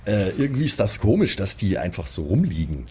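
Male role-play gamer, lowish voice, thoughtful, talking informally in character over faint ambience. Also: very animated, brisk, dark tone, slight background noise. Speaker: tabletop game player. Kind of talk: casual improvised in-character talk.

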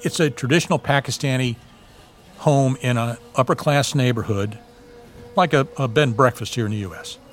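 A man's voice: mid-range voice, normal speed. A man, a former embassy security agent, telling events in a direct, vivid first-person way. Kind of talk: recounting events in an interview. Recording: clear music bed underneath.

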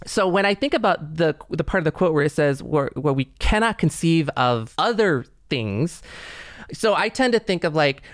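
A man's voice: on the high side, expressive, normal speed, no echo, clean studio sound. A man co-hosting a podcast in a casual conversational style. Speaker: podcast co-host.

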